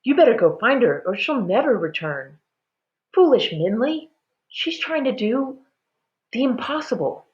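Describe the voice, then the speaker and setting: A woman storyteller puts on a nosy, dismissive villager, pitched well up with exaggerated swoops. The storybook character sounds incredulous and scornful, on a slightly dull recording. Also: very animated, measured pace, roomy sound. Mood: scolding, dismissive. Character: scoffing, gossipy village neighbors of a storybook.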